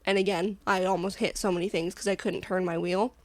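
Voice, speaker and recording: A woman's voice: lowish voice, little pitch movement, fairly quick, a little mellow, dry recording. A young woman co-hosting a podcast, telling stories in a rambling, casual way with lots of "like". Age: young adult. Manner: chatty rambling storyteller, heavy use of "like". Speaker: podcast co-host. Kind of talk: casual chat.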